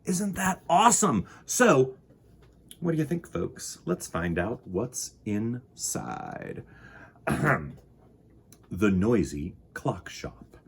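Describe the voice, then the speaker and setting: A man reading a children's picture book aloud in a theatrical, deliberate storytime style. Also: fairly low pitch, breathy edge. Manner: dramatic, deliberate, with sound effects and exclamations.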